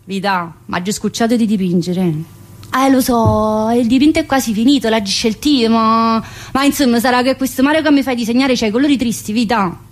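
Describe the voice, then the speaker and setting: A woman's voice: a little high, fairly quick, natural voice. A woman playing a cheeky, needling female prison inmate in a colloquial theatre monologue, with a southern Italian accent and a teasing, familiar manner. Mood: teasing, restless. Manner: teasing, familiar, full of rhetorical questions. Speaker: stage actress performing a monologue. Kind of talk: theatrical monologue in colloquial dialect-tinged speech.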